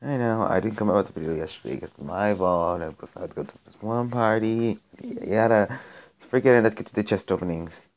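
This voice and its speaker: A young man hosting a mobile game channel, his voice a bit raspy from being sick, rambling and cutting himself off as he comments on his matches. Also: lowish voice, brisk, darkish tone. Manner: rambling, self-interrupting, gets exasperated during play. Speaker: gaming channel host. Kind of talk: casual gameplay commentary.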